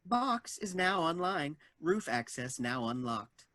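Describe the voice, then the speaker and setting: A woman's voice: low voice, very animated, takes it slowly, a bit bright, dry recording, clean studio sound, full character voice. A woman playing a polite, formal automated building computer making status announcements in a comedic audio drama. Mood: impassive, formal. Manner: formal, pre-programmed politeness.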